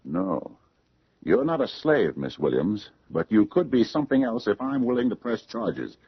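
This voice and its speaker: A man's voice: fairly low pitch, very animated, moderate pace, husky, heavily acted. An actor playing a menacing, controlling man in a radio drama, cold and commanding. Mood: accusing. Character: menacing, controlling man who styles himself master. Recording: dull vintage radio recording.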